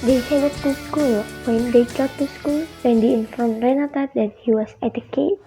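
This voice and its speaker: A woman's voice: fairly high pitch, steady tone, normal speed, dark tone, faint music behind. A young woman with an Indonesian accent narrating a radio drama from a script. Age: young adult. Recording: dull lo-fi student recording.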